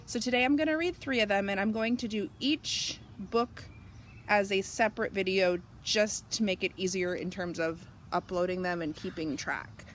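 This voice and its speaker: A woman's voice: mid-range voice, normal speed, slight background noise. A woman reading a picture book aloud for young children, explaining simply and expressively as she goes. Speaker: children's story reader.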